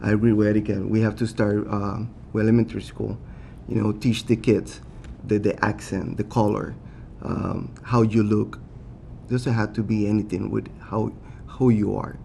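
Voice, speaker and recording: Earnest Spanish-accented man, low gravelly voice. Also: level tone, mellow, slight background noise. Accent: Latin American Spanish. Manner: earnest, halting, with many fillers and restarts. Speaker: city council candidate. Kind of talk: candidate forum answers.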